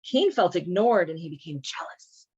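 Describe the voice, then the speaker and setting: A woman's voice: fairly low pitch, expressive, normal speed, warm tone, husky, dull audio. A woman hosting a casual read-along and commentary, speaking in an informal, wry, irreverent way. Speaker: host reading and commenting on a children's Bible.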